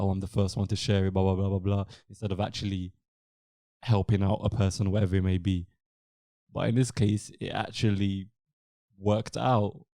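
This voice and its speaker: A young man with an English accent hosting a podcast, talking in a relaxed, rambling way with many fillers. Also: low-pitched, no echo, studio quality. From England. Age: young adult. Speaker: podcast host. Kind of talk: casual podcast chat.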